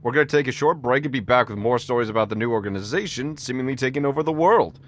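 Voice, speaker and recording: A man's voice: medium pitch, lively intonation, quick pace, a little hiss or hum, lightly acted. A man playing an inquisitive radio show interviewer in an audio drama. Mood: curious, presenting.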